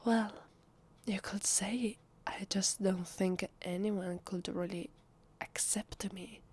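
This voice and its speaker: A woman with an Italian accent playing a shy, awkward female vampire who poses as human, in a soft, half-whispered, hesitant ASMR roleplay voice. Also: medium pitch, steady tone, takes it slowly, husky. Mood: guarded, wistful. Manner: hesitant, flustered, stammering. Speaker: voice actress.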